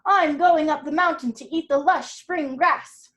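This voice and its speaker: A woman voicing the biggest billy goat, speaking boldly and deliberately. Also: high voice, fairly bright tone, pitched above the performer's own voice.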